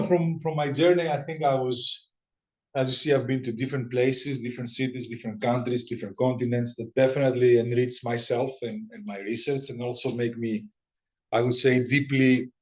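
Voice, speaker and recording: A man's voice: medium pitch, poor audio. A man in his forties from Greece with a Greek accent, giving an academic seminar talk in a conversational way with many restarts and repeated words. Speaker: guest lecturer.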